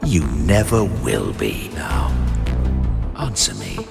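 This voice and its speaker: A sinister doll maker in a game, taunting and interrogating in slow, drawn-out phrases. His low voice is gravelly and swoops widely in pitch. It rings with a long reverb over game music. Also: huge pitch swings, heavily acted. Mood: menacing. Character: sinister male doll maker, cold and menacing. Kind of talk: game voice acting.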